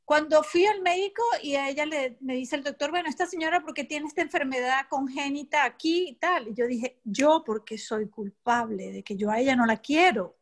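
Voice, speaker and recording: Woman w a high voice in a group sharing personal stuff, candid n emphatic, casual talk. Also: moderate pace, few pauses. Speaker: seminar participant. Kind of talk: personal testimony in casual speech.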